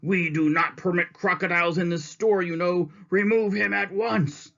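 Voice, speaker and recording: A man's voice: sits high, fairly even intonation, moderate pace, creaky, a bit bright, voice pushed up. A man voicing a furious, fussy male store manager who sputters with indignation, in a slightly dull recording. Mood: indignant.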